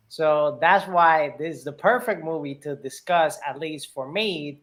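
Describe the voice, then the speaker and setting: A man with a slightly high voice speaks as a panelist in a relaxed film discussion. His delivery is conversational, with a joking streak.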